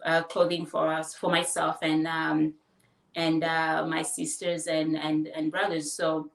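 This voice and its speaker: A woman from the Democratic Republic of Congo, answering interview questions casually and haltingly, with many fillers. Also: low voice, monotone, vocal fry. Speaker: guest, fashion business owner and designer. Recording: roomy echo, distant mic.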